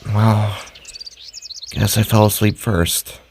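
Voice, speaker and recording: A man's voice: fairly low pitch, steady tone, takes it slowly, darkish tone, slight background noise, pitched below the performer's own voice. A man voicing a blunt, tough young woman, a heroine in a fantasy story. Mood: groggy, confused.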